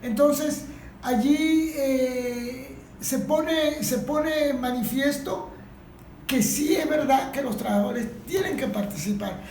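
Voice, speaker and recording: Venezuelan female, high voice, emphatic and halting, impromptu discussion remarks, echoey room. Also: a little mellow. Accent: Venezuelan Spanish. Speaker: industrial worker. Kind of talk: impromptu contribution to a group discussion. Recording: echoey room with faint background noise.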